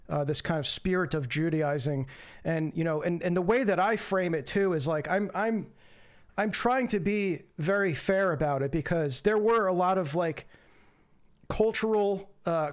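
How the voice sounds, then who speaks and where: A man hosting a casual call-in livestream, talking loosely with many fillers and restarts into a home streaming mic. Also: a little high. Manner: rambling, lots of fillers and restarts. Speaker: livestream host.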